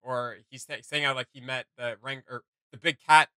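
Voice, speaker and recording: A man's voice: medium pitch, steady tone, normal speed, pushed and bright, smooth, dry recording, studio quality. A young man chatting casually as a reaction video host, with loose, slangy delivery. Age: young adult. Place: small home room with desk, indoors. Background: music.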